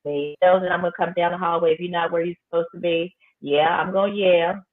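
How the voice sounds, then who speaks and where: A woman high school principal, talking firmly and emphatically in a casual interview. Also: fairly even intonation, brisk. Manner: emphatic, firm, repeats phrases for effect. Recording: tinny phone-like line.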